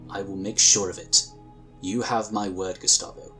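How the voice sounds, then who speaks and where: An actor playing a calm, courteous male psionic inquisitor, speaking formally and gently in a husky voice. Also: low voice, moderate pace, faint music behind. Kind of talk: audio drama acting.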